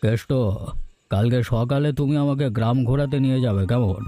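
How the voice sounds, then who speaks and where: A man playing a courteous older visitor from town in a cartoon folktale, speaking in a measured, formal way. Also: medium pitch, dark tone, soft background music, lightly acted. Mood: curious, measured.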